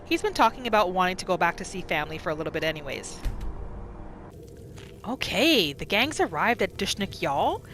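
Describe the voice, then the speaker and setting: A woman giving casual, chatty roleplay commentary as the host of a gaming channel, narrating in an expressive way. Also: fairly low pitch, brisk, soft background music. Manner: expressive, chatty, narrating characters' thoughts in third person.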